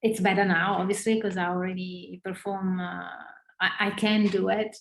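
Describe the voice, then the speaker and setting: Italian-accented woman, halting, echoey room. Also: mid-range voice, little pitch movement, gravelly. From northern Italy. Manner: hesitant, many fillers and restarts. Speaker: interview guest, former ballerina. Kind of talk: casual interview conversation. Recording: roomy echo.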